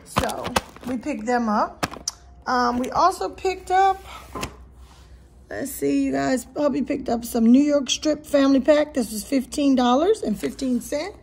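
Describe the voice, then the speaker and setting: A woman's voice: high voice, measured pace, gravelly, a little mellow, slight background noise. A woman vlogging a grocery haul in her home kitchen, chatty and casual, filming on a handheld phone. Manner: chatty, lots of "you guys" and "um". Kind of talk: casual chat to camera.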